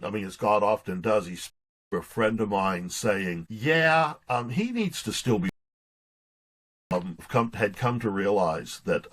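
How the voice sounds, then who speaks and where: A man with a fairly low voice talks as a guest in a casual interview. He speaks reflectively and haltingly, feeling his way through his thoughts. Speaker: guest author being interviewed.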